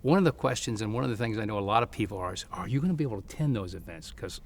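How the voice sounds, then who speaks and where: A man's voice: on the low side, animated, racing, long reverb tail. A man interviewing a guest in a chatty, casual way, with frequent fillers and agreement sounds. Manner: chatty, laughs often, many fillers.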